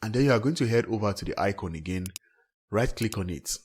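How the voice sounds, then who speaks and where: A man with a low-pitched, slightly rough voice guides listeners through a software tutorial. He speaks in a scripted, step-by-step way as a tech host. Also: expressive, fast talker.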